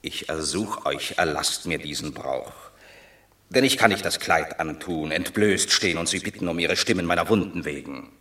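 A proud Roman war hero in classic radio drama. His low voice is bright and hard-edged, formal and clipped, with echo around it. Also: normal speed. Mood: proud reluctance. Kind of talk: classic German radio play. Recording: echoey radio drama studio.

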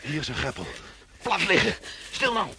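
Excitable, self-important family man. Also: fairly high pitch, moderate pace, creaky, full character voice. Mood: urgent. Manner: officious, excitable. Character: self-important, worried husband and father, dismissive of rumours. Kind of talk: radio play acting. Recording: noisy old radio play, vehicle sounds.

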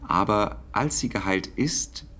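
Radio drama casting: exacting, quietly smitten codemaster, very low voice, hesitant and precise, wistful. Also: male voice, unhurried. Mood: reflective storytelling. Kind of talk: German radio drama acting.

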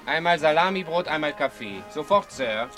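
A man's voice: on the high side, expressive, normal speed, pushed and bright, soft background music. A man playing an eager, polite counter server in a German radio drama, deferential to the customers.

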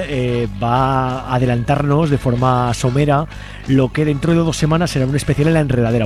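A man's voice: medium pitch, darkish tone. A man with an accent from Spain, hosting a conversational radio interview. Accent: Spanish (Spain). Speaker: radio host interviewing a guest.

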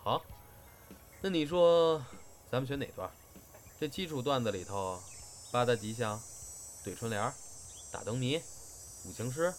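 A man's voice: mid-range voice, animated, measured pace, long pauses, slight background noise, natural voice. A man playing an easygoing, teasing senior fellow apprentice in an audio drama.

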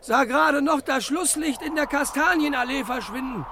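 A man's voice: very high voice, normal speed, bright, forceful tone, full character voice. A man playing a detective's eager, deferential sidekick in a radio parody, with clipped, mock-earnest phrasing. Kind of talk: old German radio detective parody acting. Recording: slightly noisy old radio recording.

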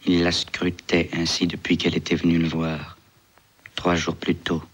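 A man's voice: low-pitched, monotone, normal speed, breathy edge. A man reading literary prose as a restrained narrator in a radio play.

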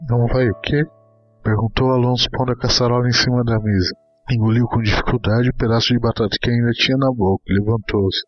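A man's voice: mid-range voice, fairly even intonation, quick pace. Male story narrator, slightly muffled lo-fi. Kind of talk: scripted dramatic story narration. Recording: dull lo-fi audio with music underneath.

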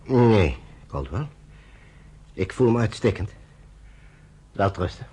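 A brooding British general in a radio play. His voice is low and very husky. It rises and falls a lot, and often drops to a hushed whisper. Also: very animated, slow, full character voice. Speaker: general and narrator. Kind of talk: 1960s Dutch radio drama.

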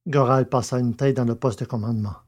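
A male narrator with a medium-pitched voice reads an audio fiction story. His delivery is scripted storytelling, setting out scenes in prose. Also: fairly even intonation, fast, darkish tone.

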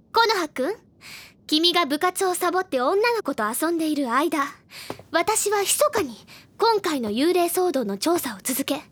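A woman playing a bookish high-school senior girl in an audio drama, proud and easily flustered, with a theatrical, gushing manner. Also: a bit bright, dry recording. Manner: theatrical, gushing, self-important. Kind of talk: anime-style audio drama acting. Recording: studio drama with music underneath.

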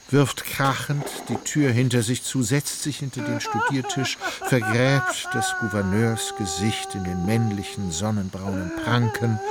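A man narrating a literary radio play with dramatic, ornate storytelling. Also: fairly low pitch. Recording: loud music behind voice.

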